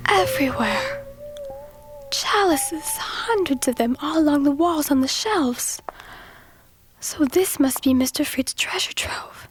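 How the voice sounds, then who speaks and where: A woman playing a brave, curious young woman in an audio drama, thinking aloud in hushed, breathy tones. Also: sits high, animated, slow pace, noisy background. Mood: awed. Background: music.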